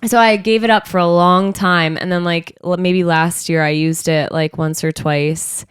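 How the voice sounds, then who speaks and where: A woman with a stuffy, blocked-up nose rambles through a casual podcast chat, padding her stories with fillers. Her voice sits fairly low and comes across smooth and clean. The sound is dry and close, with no room around it. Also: fairly even intonation, normal speed, dry recording. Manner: chatty, rambling storytelling with many fillers. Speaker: podcast co-host. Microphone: studio microphone.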